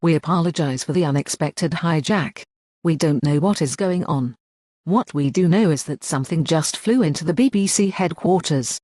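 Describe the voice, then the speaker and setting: An announcer reading a scripted emergency news bulletin in a formal, measured way. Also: mid-range voice, darkish tone.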